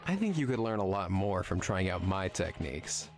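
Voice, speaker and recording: Wry, low-voiced irreverent film nerd acted by a man. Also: big pitch swings, moderate pace. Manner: wry, mischievous. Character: sarcastic, irreverent, needling male film nerd. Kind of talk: visual novel voice acting.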